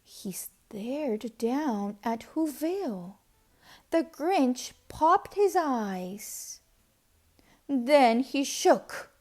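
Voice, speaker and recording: A woman narrating a children's storybook aloud in an expressive, dramatic way, at times hushed to a whisper. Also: high-pitched, extremely slow. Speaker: storybook reader.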